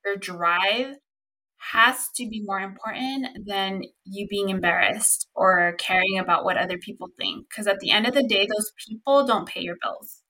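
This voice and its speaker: A young woman hosting her own podcast, talking casually and earnestly with lots of "um" and "like," with a slightly squeaky voice. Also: bright, forceful tone, low-quality recording. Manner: chatty, earnest, lots of fillers like "um" and "like". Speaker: podcast host. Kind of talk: casual solo podcast talk.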